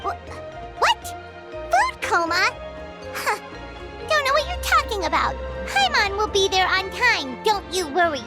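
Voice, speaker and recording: A very high, bouncy little fairy companion, pitch leaping all over as she whines and protests about herself in the third person. Game music plays loudly underneath the voice. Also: big pitch swings, a little slow, full character voice. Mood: indignant. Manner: refers to herself in third person, whiny and indignant. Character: tiny floating female fairy companion, chatty and greedy. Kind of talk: video game voice acting. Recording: game audio with loud background music.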